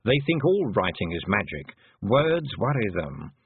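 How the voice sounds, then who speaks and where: A man voicing a husky, knowing toad. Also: on the low side, very animated, no echo, phone-quality sound, heavily acted. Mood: knowing, hushed. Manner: murmured, measured explaining. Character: talking toad, knowing, explanatory adviser.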